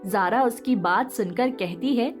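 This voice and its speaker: A woman narrates a scripted moral story. Her voice sits on the high side, and music plays clearly behind her. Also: measured pace.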